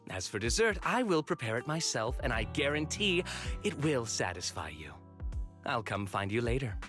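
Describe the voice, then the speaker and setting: An actor playing a smooth, fawning host at a banquet, in game audio with music behind. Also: male voice, mid-range voice, big pitch swings, moderate pace, fairly bright tone.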